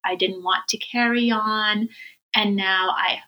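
Woman, American accent, Iran-born, bright voice, chatty, laughs easily. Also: mid-range voice, takes it slowly, rough recording. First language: Persian. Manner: chatty and animated, laughs often. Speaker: cookbook author guest. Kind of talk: casual interview.

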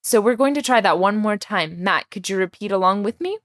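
A young woman raised in Texas, speaking with a Texan American accent, hosting a podcast language lesson with clear, careful pronunciation. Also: medium pitch, animated, a little fast, a bit bright, no room sound, clean studio sound. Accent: American English, Texas. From Iran. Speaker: language teacher, podcast host.

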